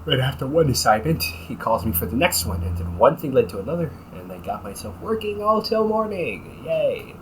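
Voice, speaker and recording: A man's voice: medium pitch, very animated, moderate pace, hardly any pauses, slight background noise, lightly acted, rough recording. A man playing a cocky, weary, centuries-old but young-sounding vampire brother in a conversational audio roleplay, grumbling with dry sarcasm. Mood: sarcastic, tired. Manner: sarcastic, grumbling, often chuckling. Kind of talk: audio roleplay, conversational one-sided dialogue.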